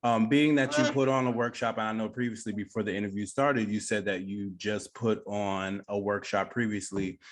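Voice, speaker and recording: A man hosting a conversational radio interview, speaking to his guest over a video call. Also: on the low side. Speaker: radio show host, interviewer.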